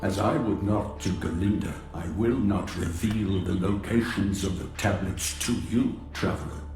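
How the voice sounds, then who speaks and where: A man's voice: sits low, moderate pace, a little mellow, roomy sound, soft background music. A man playing a proud, defiant enslaved scholar in a video game, formal and disapproving. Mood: haughty, defiant. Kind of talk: dramatic video game acting.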